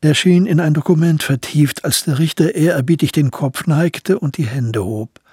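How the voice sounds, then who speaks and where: A man's voice: on the high side, moderate pace, a little mellow, breathy edge, dry recording, clean studio sound. A man giving scripted narration for a historical crime radio play.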